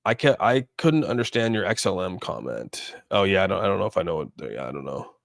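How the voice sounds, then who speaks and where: Low-voiced man chatting casually on livestream. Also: animated, fast, gravelly, no room sound, clean studio sound. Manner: informal, rambling, thinking aloud while reading viewer comments. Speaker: livestream host. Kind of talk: casual live chat commentary on crypto markets.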